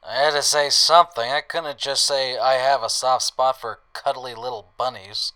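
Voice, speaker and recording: Roguish, soft-hearted wasteland drifter played by a man, mid-pitched, bright and forceful, with wry, teasing radio drama delivery. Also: steady tone, moderate pace, smooth, lightly acted, rough recording. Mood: gentle. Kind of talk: audio drama acting.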